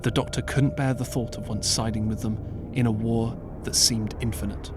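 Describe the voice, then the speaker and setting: A man narrating an audiobook as scripted storytelling, measured and earnest, with dramatic pauses. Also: medium pitch, steady tone. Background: clear background music.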